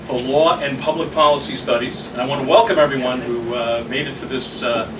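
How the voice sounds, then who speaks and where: A man's voice: medium pitch, steady tone, a little fast. A man, speaking as chapter president and introducing a debate to an audience, joking between fillers. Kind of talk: public speaking at an event. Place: small meeting room. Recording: echoey room, far from mic, noisy.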